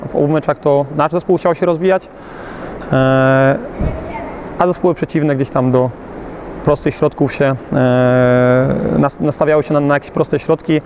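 A man in his thirties coaching youth football, speaking after a match in a slightly high voice. He stops often with fillers and restarts his phrases. A faint hum of the outdoors sits behind him. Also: fairly even intonation, unhurried, darkish tone. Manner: hesitant, many fillers and restarts. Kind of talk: post-match interview. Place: outdoors beside a covered pitch.

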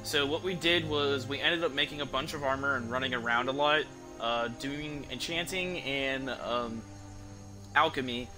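A young man giving casual gameplay commentary in a rambling, halting way, streaming from an indoor room. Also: medium pitch. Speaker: game streamer. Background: game music.

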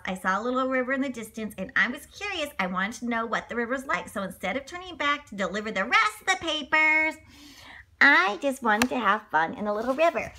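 A woman narrates a picture book for children in a playful, chatty way. Her voice is high and bright, with very animated intonation. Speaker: children's storybook reader. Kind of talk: animated read-along narration.

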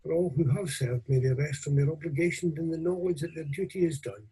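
An older man, a minister, reading formal prayers solemnly, reverently and deliberately. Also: fairly high pitch, dark tone, husky, low-quality recording. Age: 60s or older. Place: small room at home. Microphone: video call or webcam at desk distance.

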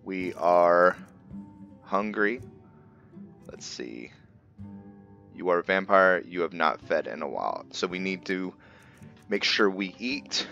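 A man giving casual video game commentary as a roleplay host, halting as he thinks aloud. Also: low-pitched, lively intonation, creaky, pushed and bright, muffled recording. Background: game music.

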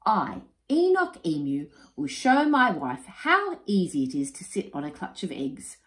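A woman's voice: wildly swooping pitch, measured pace. A woman voicing a pompous, lazy male emu father in a storybook reading, blustering and spluttering. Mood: boastful. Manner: blustering, high-pitched spluttering when upset. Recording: roomy echo.

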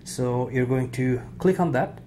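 A man hosting a tech tutorial, talking casually off camera in an indoor room with frequent fillers and pauses. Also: medium pitch, background noise. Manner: relaxed, halting with frequent "uh" fillers. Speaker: tech video host.

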